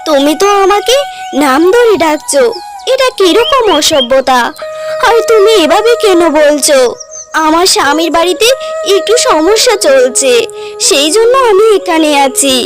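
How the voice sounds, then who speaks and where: Indignant grown sister-in-law, voiced by a woman, cartoon drama, high register, reproving, music bed. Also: expressive, measured pace. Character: indignant married sister-in-law, older than the bride. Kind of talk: cartoon story acting.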